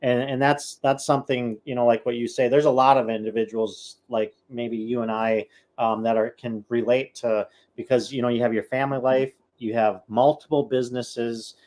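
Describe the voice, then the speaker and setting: A man's voice: medium pitch, level tone, moderate pace, few pauses, a little mellow. A man co-hosting a casual podcast, speaking loosely with fillers and searching for words. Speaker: podcast co-host. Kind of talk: casual chat.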